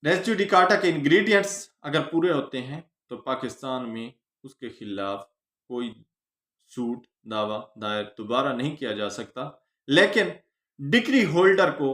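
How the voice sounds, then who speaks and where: A man's voice: mid-range voice, lively intonation, unhurried, fairly bright tone. A man with a Pakistani accent giving an instructional law lecture, didactic and emphatic, posing questions and answering them with English terms mixed in. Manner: didactic, emphatic stress on phrases, mixes in English legal terms. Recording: roomy, echoing voice recording.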